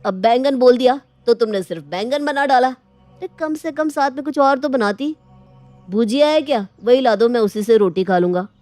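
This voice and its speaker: A woman's voice: a little high, moderate pace, warm tone, no room sound, soft background music, close to an everyday voice. A woman voicing a picky, pampered husband in a domestic story, with a demanding manner. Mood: dissatisfied. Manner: grumbling, demanding. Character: fussy, picky adult husband, pampered son.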